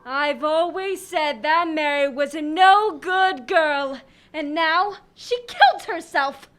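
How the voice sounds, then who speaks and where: A woman playing a sharp, high-pitched village busybody. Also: slow pace. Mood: sniffy, disapproving. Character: Missus Mickle, prim, judgmental village gossip. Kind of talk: radio drama acting.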